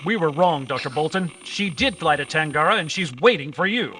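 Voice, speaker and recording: A man's voice: a little high, lively intonation, brisk, pushed and bright, a little hiss or hum. A man playing a brave, decisive adventure hero in an old-time radio drama performed live. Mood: urgent, resolute. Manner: crisp, decisive, commanding. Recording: live stage performance in reverberant hall.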